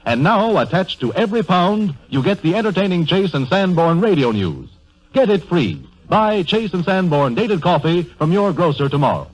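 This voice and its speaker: A man's voice: high voice, very animated, normal speed, breathy edge, low-quality recording. An American man announcing a scripted 1930s radio advertisement in an emphatic, salesmanlike way. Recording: vintage 1930s radio broadcast.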